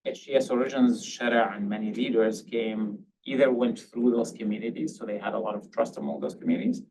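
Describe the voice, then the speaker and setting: A low, gravelly-voiced man from Syria answers questions on a policy panel, circling back and restarting his points with frequent ums. He sounds set back from the microphone, with faint noise in the room behind him. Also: brisk, warm tone. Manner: hedging, restarts often with um and I mean. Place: panel event hall. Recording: distant mic, faint background noise.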